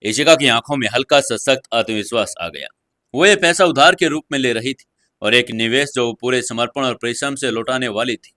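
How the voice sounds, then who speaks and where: Bright-voiced male story narrator. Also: mid-range voice, a little fast, no room sound, clean studio sound. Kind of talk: dramatic scripted narration.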